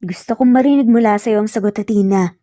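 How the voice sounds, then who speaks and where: A woman plays an earnest young man in love from a romance audio story. He is gentle, teasing and sincere, mixing in English. The voice is mellow and dry. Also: medium pitch, fast, no echo, lightly acted.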